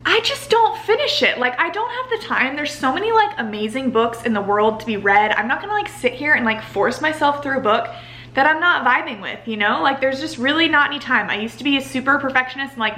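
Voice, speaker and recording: A woman's voice: high voice, sharp tone, slight background noise. A young woman talking casually in a book review vlog, emphatic and exasperated, peppering her sentences with "like". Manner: emphatic, exasperated venting with frequent "like". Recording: echoey, roomy sound.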